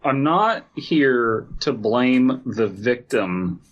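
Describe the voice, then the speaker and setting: A man's voice: mid-range voice, lively intonation, drawn-out words. A man co-hosting a podcast, reading a horror story aloud and riffing on it with jokes. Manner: joking, riffing. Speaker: podcast co-host and reader. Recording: slightly dull, lo-fi sound.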